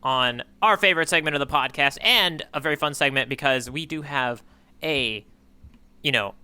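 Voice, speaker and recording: A man's voice: mid-range voice, moderate pace, sharp tone, clean tone. A man co-hosting a manga readalong podcast in casual chat, riffing playfully. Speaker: podcast co-host.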